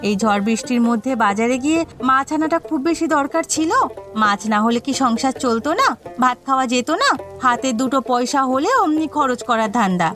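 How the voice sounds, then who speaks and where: Woman acting cartoon housewife, high voice, scolding, bossy, thrifty, soft background music. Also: brisk. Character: nagging, thrifty village housewife, sharp-tongued with her menfolk.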